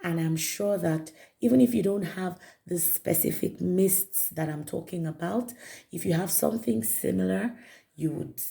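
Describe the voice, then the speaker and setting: A woman reviewing perfumes in a chatty vlog, her voice raspy and hoarse from a cold. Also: fairly low pitch, normal speed, warm tone. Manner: chatty, repeats herself, many fillers. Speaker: perfume vlogger. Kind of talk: casual product review to camera.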